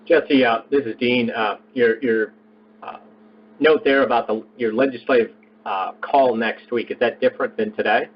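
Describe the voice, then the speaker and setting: A man's voice: mid-range voice, steady tone, moderate pace, a little hiss or hum. A man calling in to a conference call, asking polite, hesitant questions. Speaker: caller. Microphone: phone on a conference call. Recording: muffled distant phone-line audio.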